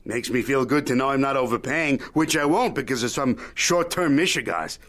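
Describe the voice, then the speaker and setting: An actor playing a shrewd, folksy old media mogul in an audio drama, haggling in a wry, needling, Yiddish-peppered way. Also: male voice, mid-range voice, normal speed, fairly bright tone, natural voice. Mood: scheming. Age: older.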